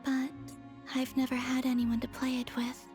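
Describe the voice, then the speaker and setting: A woman voicing a serene young moon goddess in a video game, soft-spoken, composed and unhurried. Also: high voice, monotone, close to an everyday voice. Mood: serene, wistful. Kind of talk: animated video game voice acting. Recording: faint music behind the voice. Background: faint game music.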